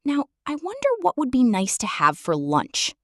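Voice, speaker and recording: Woman playing a little greedy storybook man, curious, musing, expressive, unhurried, dry close sound. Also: high-pitched, dry recording, heavily acted. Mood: contented. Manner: musing to himself. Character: small, curious, food-loving man, contented storybook character. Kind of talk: children's storybook character reading.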